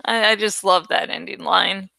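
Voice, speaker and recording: A woman reading aloud as an amateur audiobook host, expressive and informal in her delivery. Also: medium pitch, normal speed, gravelly, dry recording, studio quality. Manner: informal, self-correcting. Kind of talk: expressive story narration with casual asides.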